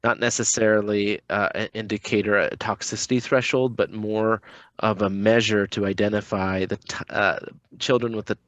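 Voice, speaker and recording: A man giving an unscripted program update on a conference call, halting and hesitant as he goes. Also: fairly low pitch, steady tone, fairly bright tone, dry recording. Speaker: public health program staffer. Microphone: conference call connection. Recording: dull conference-call audio.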